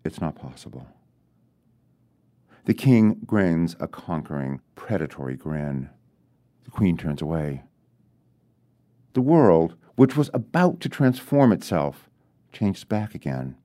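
A man reading his own short story as literary narration, deliberate and with dramatic pauses. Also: sits low, sing-song, mellow.

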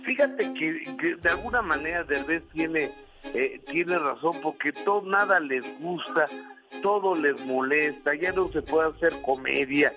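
A man's voice: fairly high pitch, unhurried, music in the background. A man giving entertainment news on a radio show, talking casually and hesitantly with many fillers.

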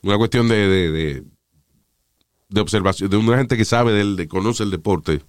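A man's voice: fairly low pitch, moderate pace, clean tone, no room sound, studio quality. A man hosting a casual talk show, rambling and joking with crude asides. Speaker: show host. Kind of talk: casual studio banter.

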